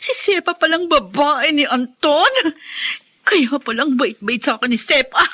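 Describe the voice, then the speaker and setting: A woman's voice: high voice, unhurried, bright, forceful tone. An actress playing a furious, betrayed wife and short-tempered mother in a Filipino radio drama, shrieking accusations. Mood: bitter, vengeful.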